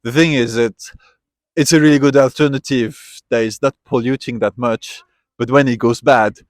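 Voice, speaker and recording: A man speaks in a thoughtful, reflective way, pausing and restarting as he gathers his thoughts. His voice sits at a middling pitch and is recorded close and dry, with no room sound, even though he is outdoors. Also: dry recording. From Switzerland. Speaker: interviewee, tourist. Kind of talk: casual street interview. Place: outdoors at a memorial site.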